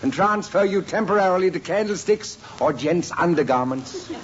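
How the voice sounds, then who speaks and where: A man's voice: high-pitched, expressive, normal speed. A man playing a pompous, greedy department store floor manager who talks with plummy self-importance in a 1950s radio sitcom. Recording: muffled old radio recording, faint hiss.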